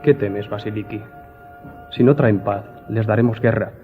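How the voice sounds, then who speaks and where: Male actor, fierce devout warrior, radio drama, lowish voice, deliberate, solemn menace, dull recording. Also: close to an everyday voice. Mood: defiant. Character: fierce, devout guard chief ready to die. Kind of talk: Spanish radio drama acting. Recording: dull lo-fi audio, faint music.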